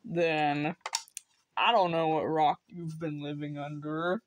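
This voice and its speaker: A vlogger giving casual, rambling unboxing commentary in an offhand, halting way. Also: mid-range voice, flat delivery, dull audio, a little hiss or hum. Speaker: video host showing flea market finds.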